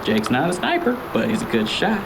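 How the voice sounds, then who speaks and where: Cocky eighteen-year-old male wisecracker, played by a man, swooping pitch, sarcastic audiobook dialogue under loud ambience. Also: huge pitch swings, brisk, very noisy, full character voice. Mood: cocky, teasing. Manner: mouthy, theatrical complaining and joking. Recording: loud ambient sound effects behind.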